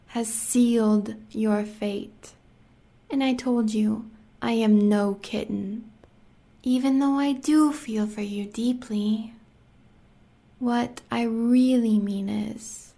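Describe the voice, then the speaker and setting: A woman acts out a sadistic American werewolf huntress for an audio roleplay. Her voice sits fairly high for a woman and moves slowly, slipping into breathy whispers. She talks sweetly and menacingly, a devoted lover who enjoys cruelty. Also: dark tone, exaggerated voice.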